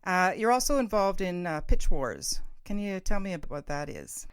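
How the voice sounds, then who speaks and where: A woman's voice: fairly low pitch, big pitch swings, normal speed, smooth, no room sound. A middle-aged woman hosting a casual interview, informal, encouraging and enthusiastic in how she talks. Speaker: podcast host and interviewer.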